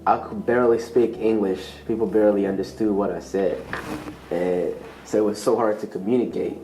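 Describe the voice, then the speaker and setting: A man from Rwanda, an immigrant student, calmly telling his story in a documentary interview. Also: on the low side, moderate pace, echoey room, a little hiss or hum, rough recording.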